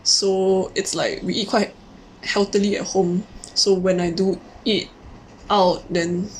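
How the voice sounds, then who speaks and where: A woman's voice: mid-range voice, level tone, slow, a little hiss or hum, low-quality recording. Chatty Singaporean woman explaining casually. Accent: Singaporean English. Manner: chatty and explanatory, many "like" fillers. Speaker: podcast co-host. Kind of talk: casual chat.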